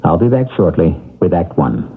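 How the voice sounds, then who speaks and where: A man hosting a radio mystery show, delivering scripted introductions in a measured, theatrical way. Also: sits low, big pitch swings, slightly rough. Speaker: radio drama host. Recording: tinny old radio broadcast.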